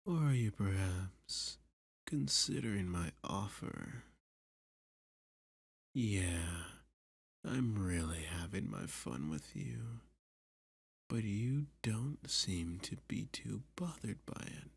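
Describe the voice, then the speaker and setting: A man playing a dominant, flirty villain who taunts a hostage in an intimate ASMR roleplay, often dropping to a breathy, teasing whisper. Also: deep voice, very animated, no room sound, clean studio sound, exaggerated voice. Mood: smug, flirty. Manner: slow, teasing, sadistic, possessive.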